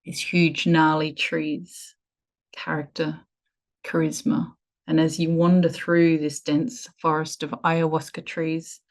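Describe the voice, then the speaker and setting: A woman leading a guided meditation in a soothing, measured way with lingering pauses. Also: low-pitched, a little mellow.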